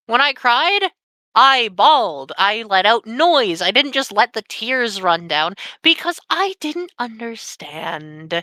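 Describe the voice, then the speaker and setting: Young woman, high animated voice, bright and sharp, slow deliberate telling, candid, dry close sound. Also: clean tone, no room sound. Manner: raw, candid and sweary, with heavy pauses. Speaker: podcast guest. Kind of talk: personal storytelling on a podcast.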